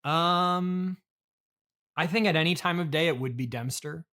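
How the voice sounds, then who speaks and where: A man's voice: fairly high pitch, bright, forceful tone. Casting: American man, casual interviewer in improv comedy, hesitant and courteous, the puzzled straight man. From United States, Illinois. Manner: halting, politely baffled straight man. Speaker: podcast host and interviewer.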